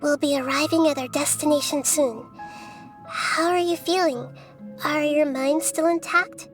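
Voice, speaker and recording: A woman voicing a calm, wise, child-sized young goddess in a video game, speaking in a thoughtful, measured way. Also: high voice. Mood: calm, caring. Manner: measured, thoughtful, formal phrasing. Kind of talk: video game story dialogue. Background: game music.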